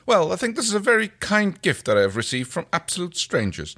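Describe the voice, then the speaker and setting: Man putting on a high, polite alien pilot. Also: very animated, fast talker, bright, forceful tone, full character voice. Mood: grateful, polite. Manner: formal, courteous. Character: gentle, polite alien ship's pilot, earnest.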